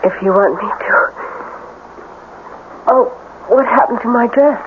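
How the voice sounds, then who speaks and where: An actress playing a frail, haunted young woman in an old-time radio drama, plaintive and halting, on a thin old broadcast recording. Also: on the high side, narrow, tinny audio, heavy background noise, close to an everyday voice. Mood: weary.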